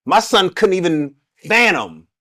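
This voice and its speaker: A man's voice: high voice, big pitch swings, a little slow, a bit bright. A man in his fifties with a Washington D.C. accent, talking as a podcast guest. He tells stories emphatically, often repeating phrases as he builds a point. The sound is dry and close, with no room echo. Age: 50s. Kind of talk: casual podcast conversation.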